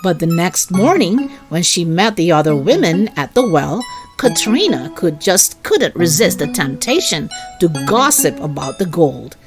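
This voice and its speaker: A woman reading a children's story aloud as an expressive storyteller. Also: fairly low pitch, a little slow, music in the background.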